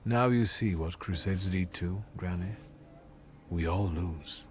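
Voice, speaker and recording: A man's voice: low-pitched, slow pace, darkish tone, a little hiss or hum. An actor playing a guarded, blunt male occultist in a video game, with a probing manner. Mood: grim. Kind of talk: dramatic video game acting.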